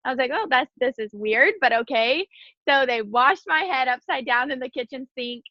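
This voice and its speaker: A woman's voice: high-pitched, normal speed, no room sound, muffled recording. A woman in her twenties, slightly stuffy from sneezing, chatting casually about her story on a video call from outdoors. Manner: chatty, giggly, many fillers. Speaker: guest speaker. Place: outdoors in the wind.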